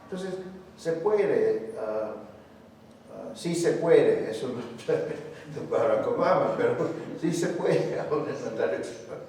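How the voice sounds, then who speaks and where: A poet answering audience questions in a public talk, speaking slowly and hesitantly with many restarts, Spanish not his first language. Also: medium pitch, animated, darkish tone. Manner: slow and halting, with many restarts. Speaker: invited poet. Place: large hall with an audience. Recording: echoey hall from far off, faint hiss.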